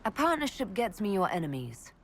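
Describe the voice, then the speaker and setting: An actress playing a pragmatic female elf leader in a video game, measured, firm and matter-of-fact. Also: mid-range voice, very animated, slight background noise. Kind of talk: video game cutscene acting.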